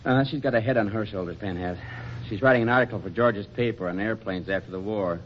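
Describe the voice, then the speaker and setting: An American actor playing an oblivious, defensive young husband in a 1940s radio comedy, with a colloquial, hesitant manner. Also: male voice, lowish voice, fast, lightly acted. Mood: offhand. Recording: dull, noisy 1940s radio recording.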